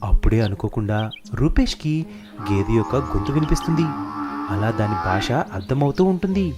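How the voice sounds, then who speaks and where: A man's voice: medium pitch, expressive, moderate pace. A man narrating a scripted children's story. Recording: music behind the narration.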